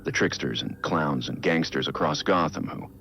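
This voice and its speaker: An actor playing a brooding billionaire vigilante, recording a measured, reflective personal audio log in a game. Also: male voice, bright, forceful tone, full character voice. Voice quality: low gravelly voice. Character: brooding billionaire vigilante, man in his prime.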